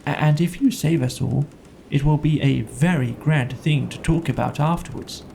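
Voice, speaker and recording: A modest, good-natured storybook bear , done by a man, talks gently and simply. His words come haltingly, in a somewhat high voice. There is ambient noise behind him. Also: gravelly. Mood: comforting. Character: kindly, simple, modest male toy bear.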